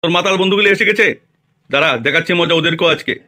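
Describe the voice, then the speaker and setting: A man's voice: on the high side, very fast, bright, forceful tone. A man voicing a bad-tempered, foul-mouthed village father in an amateur comedy cartoon, scolding and threatening crudely.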